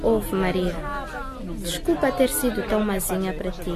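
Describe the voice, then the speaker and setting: A woman's voice: mid-range voice, normal speed, close to an everyday voice. Woman playing a teenage schoolgirl amid crowd bustle. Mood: apologetic. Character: Anitta, apologetic, betrayed teenage girl, new student. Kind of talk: radio soap opera acting.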